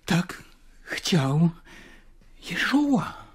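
An actor playing a troubled, devout Judean councillor in an audio drama, with a husky, breathy voice and solemn, emphatic delivery. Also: male voice, high voice, very animated, drawn-out words, full character voice. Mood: reverent. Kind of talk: literary audio drama acting.

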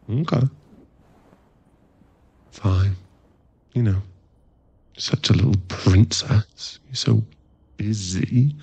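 A man's voice: on the low side, very slow, gravelly, long pauses, darkish tone, slightly rough, no echo, heavily acted. A man playing a playful, affectionate, laddish werewolf boyfriend in a casual, teasing ASMR roleplay. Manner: casual, laddish, teasing, drops into murmured asides.

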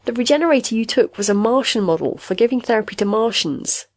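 A woman's voice: on the high side, expressive, fast, pitched above the performer's own voice. A woman voicing an awkward, apologetic, formal male company representative in an audiobook.

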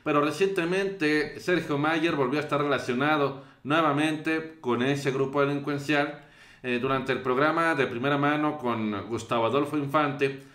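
A young Mexican man talks through celebrity news clips from a small bedroom, often pausing on fillers. His mid-pitched voice carries a roomy echo off bare walls. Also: a bit bright. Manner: hesitant, many fillers. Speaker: show host. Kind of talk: commentary over news clips.

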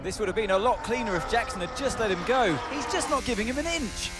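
A man's voice: extremely high pitch, expressive, quick pace, loud music behind the voice. A man as an exasperated racing co-commentator in a video game, urgent and disapproving. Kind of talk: live sports commentary.

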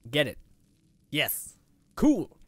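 Chatty eighteen-year-old gamer with lively pitch. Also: male voice, extremely slow, dry recording. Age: teens. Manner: rambling, chatty, lots of fillers. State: slightly raspy after eating. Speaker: let's play gamer. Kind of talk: casual gameplay commentary. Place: indoor room.

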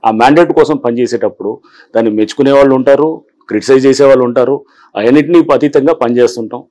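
Official, 50s, fast and explanatory, office interview, lapel mic. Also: male voice, medium pitch, mellow. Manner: explanatory, mixes English phrases, frequent "see". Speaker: government official. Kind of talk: interview answers. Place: office, indoors.

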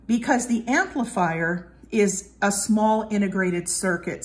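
A woman giving a casual hands-on product review, talking in a measured, explanatory way. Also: mid-range voice.

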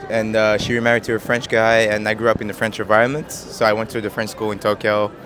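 A man in his twenties from Japan, answering street interview questions in a soft, hesitant way with many fillers and easy laughs, into a handheld mic outdoors. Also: lowish voice, little pitch movement, fast. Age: 20s. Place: busy city square outdoors. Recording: busy street crowd behind.

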